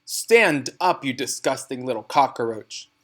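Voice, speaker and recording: A man's voice: medium pitch, animated, a little slow, a bit bright. A man voicing a tyrannical, child-hating woman headmistress in a storybook, pompous, emphatic and barking. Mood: furious, barking.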